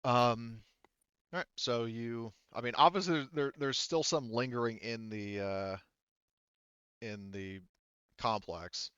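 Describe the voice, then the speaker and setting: A man's voice: mid-range voice, takes it slowly, pauses often, a bit bright, clear, resonant tone, dry recording, lo-fi sound. A man narrating a tabletop game as game master, explaining casually and conversationally with lots of "you know" and "like". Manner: hedging, conversational, heavy use of "you know" and "like".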